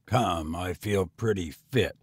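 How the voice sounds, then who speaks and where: A man voicing a weary, bitter gentleman tramp in a story, speaking in a grim, resigned, subdued manner. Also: deep voice, takes it slowly, no echo, clean studio sound, pitched below the performer's own voice.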